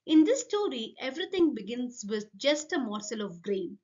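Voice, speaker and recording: Female folk tale narrator for children, high voice, expressive read, somewhat dull recording. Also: normal speed. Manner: expressive, addresses listeners directly. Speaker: storyteller.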